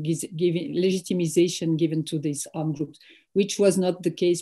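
A woman's voice: fairly low pitch, dark tone. A woman speaking earnestly as an NGO panelist in a video-call panel discussion.

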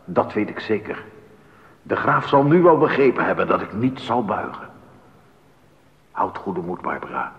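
Gentle musician husband, low voice, steady, reverberant hall, radio drama. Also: male voice, moderate pace, pauses often. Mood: gentle, soothing. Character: calm, reassuring imprisoned musician, a loving husband. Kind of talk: 1980s radio play acting. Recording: dull, echoey radio recording, faint background noise.

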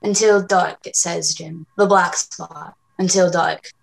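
A woman playing an ailing, desperate old pirate captain with gruff sailor talk in an amateur radio play. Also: fairly low pitch, steady tone, slow pace, exaggerated voice, low-quality recording. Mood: desperate, failing.

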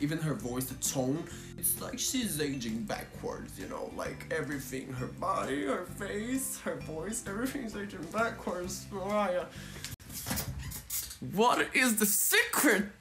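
Young man, Brazilian accent, Portuguese native, effusive fan vlogger with casual swearing; reverberant room, music in the background. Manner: gushing and playful, casual swearing, jumps to high excited squeals. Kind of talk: casual chat. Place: indoor room with a pink backdrop. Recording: roomy echo with music behind.

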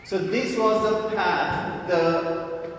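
Male priest, fairly high voice, measured homily, emphatic repetition, very reverberant church. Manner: deliberate, emphatic repetition and rhetorical questions. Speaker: priest preaching. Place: large church interior. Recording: echoey church, distant mic, faint rumbling noise.

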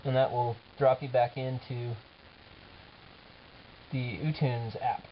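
A man giving an informal software demo as the app's developer, talking casually and haltingly as he thinks aloud. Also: mid-range voice, steady tone, slight background noise. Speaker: app developer presenting a demo. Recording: dull lo-fi camera audio, music behind.

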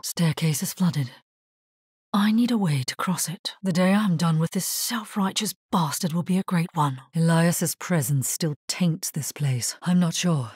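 A woman voicing a solemn, resolute necromancer in a dark fantasy video game, with a husky, breathy voice and grave delivery. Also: low-pitched, dry recording, clean studio sound, heavily acted. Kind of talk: dramatic scripted game dialogue.

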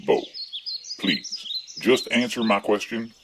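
A man's voice: lowish voice, slow, background noise. A man playing a folksy, literal-minded, exasperated rustic in an absurdist radio comedy. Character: exasperated rustic country man with a plumbing problem.

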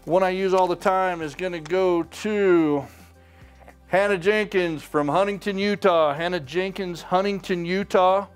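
A man's voice: high-pitched, steady tone, measured pace, clear, resonant tone, faint music behind. Upbeat middle-aged man calling prize winners. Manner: upbeat, off-the-cuff, reads names and towns. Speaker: sweepstakes host. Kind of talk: casual prize drawing announcement. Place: indoor studio with wood walls and mounted trophies.

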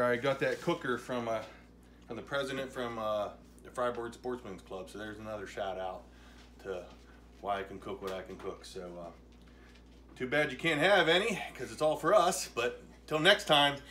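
A man's voice: mid-range voice, a bit bright. A man in his forties hosting a home cooking vlog, chatting in a folksy, joking, plainspoken way. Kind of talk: casual cooking show chat. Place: outdoors by a smoker in wind and snow. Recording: distant phone audio with wind noise.

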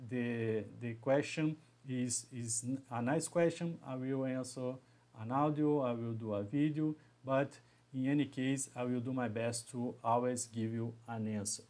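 A man in his thirties with a Brazilian Portuguese accent, hosting a live stream from a small home room into a webcam and talking haltingly, restarting and repeating words as he goes. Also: mid-range voice, a little mellow. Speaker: engineering educator hosting a live session. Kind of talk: live stream talk to viewers.

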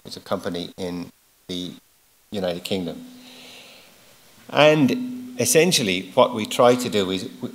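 A man's voice: fairly low pitch, a bit bright, poor audio. A middle-aged man giving an informal, explanatory keynote at a lectern, plain-spoken, stressing key words and letting phrase ends trail off. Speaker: keynote speaker. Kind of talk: conference presentation, informal and explanatory. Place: large wood-panelled auditorium, at a lectern. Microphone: lectern gooseneck microphone.

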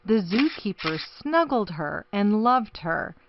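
A woman reading a children's picture book aloud expressively, with deliberate, dramatic phrasing. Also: mid-range voice, very animated, dull audio, background noise. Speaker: storybook reader.

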